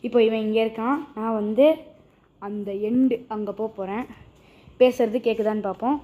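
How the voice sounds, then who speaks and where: A woman's voice: a little high, steady tone, fairly quick, phone-quality sound. A woman chattily explaining a product step by step to viewers in a casual vlog. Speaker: vlogger presenting a product unboxing.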